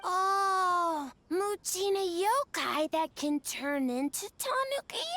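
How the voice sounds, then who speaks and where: An actress voicing a small, childlike, excitable tanuki yokai in a video game, exclaiming in short, broken third-person phrases. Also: female voice, extremely high pitch, takes it slowly, exaggerated voice. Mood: excited, curious. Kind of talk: English game voice acting.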